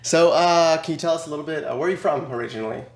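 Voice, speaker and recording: A man's voice: on the high side, very fast, reverberant. A man hosting a podcast, an upbeat and eager interviewer. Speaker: podcast host. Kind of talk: casual interview chat.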